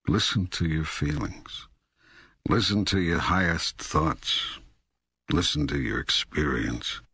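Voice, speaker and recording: God as an all-knowing teacher in an audiobook dialogue. The voice is extremely low and heavily gravel-rough, recorded dry and close. Each phrase is deliberate and emphatic, with patient authority. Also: male voice, expressive, bright, forceful tone, no room sound, full character voice. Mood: firm, certain.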